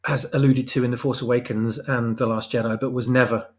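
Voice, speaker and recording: A man's voice: medium pitch, little pitch movement, a little fast. A man discussing his own story as a guest writer, speaking casually and hesitantly as he searches for words. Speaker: guest writer of a fan audio drama.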